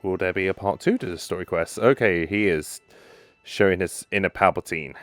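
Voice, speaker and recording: A man's voice: low-pitched, normal speed, no echo. A man giving casual, musing commentary as he plays through a game. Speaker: gaming video host.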